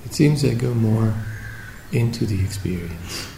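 Slow, low-voiced man guiding meditation. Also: animated, creaky, warm tone. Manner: unhurried, reflective, with long pauses between short phrases. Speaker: meditation teacher. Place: indoor room at a meditation retreat. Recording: roomy echo with faint background noise.

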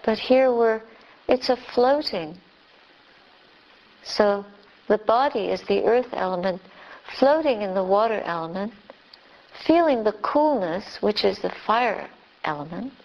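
A woman leading a guided meditation as a teacher, speaking gently and unhurriedly in short phrases with long pauses. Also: medium pitch, expressive, dull audio. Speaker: Buddhist nun, meditation teacher.

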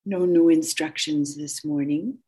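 Female meditation teacher: gentle, husky delivery, very even pitch, unhurried phrases with long pauses between them. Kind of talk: guided meditation instruction.

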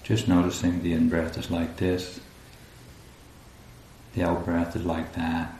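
A man leading a guided meditation as a teacher, speaking calmly and unhurriedly with long pauses between phrases. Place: indoor room at a group retreat. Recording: roomy echo, faint low rumbling noise.

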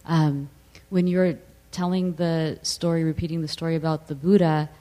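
An audience member at a meditation talk asking a question, with a loud voice, hesitant and circling back. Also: medium pitch, little pitch movement, normal speed.

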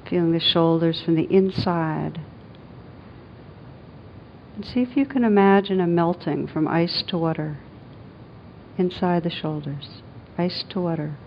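A woman meditation teacher leading a guided meditation in a gentle, soothing, unhurried manner with long pauses. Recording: dull lo-fi sound, rumbling background noise.

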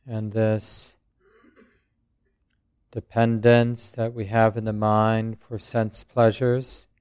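A man who is a meditation teacher, speaking or chanting slowly and with measured, long pauses. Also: lowish voice, flat delivery, darkish tone, clean tone, no room sound, clean studio sound. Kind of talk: slow chanting and guided meditation talk. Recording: dull, muffled recording.

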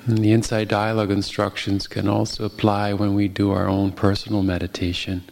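A man guiding a meditation as a teacher, speaking gently and unhurriedly with long pauses. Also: on the low side, monotone, creaky, slight background noise. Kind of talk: guided meditation.

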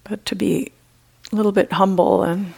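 A woman leading a guided meditation as a teacher, speaking gently and unhurriedly with long pauses. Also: medium pitch, fairly even intonation, gravelly, breathy edge, low-quality recording.

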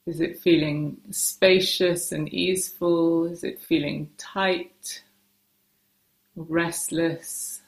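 Woman, meditation teacher, very unhurried delivery, gravelly fry, gentle instruction, hesitant pauses, roomy echo. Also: on the low side, steady tone. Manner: unhurried, hesitant pauses between short phrases. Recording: roomy, echoing space.

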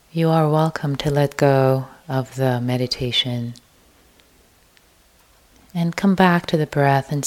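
Female meditation teacher: gentle and soft, drawn-out lines, a very low voice. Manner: slow, soft, gentle guidance with long pauses; drifts into an unvoiced whisper at times. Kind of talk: guided meditation.

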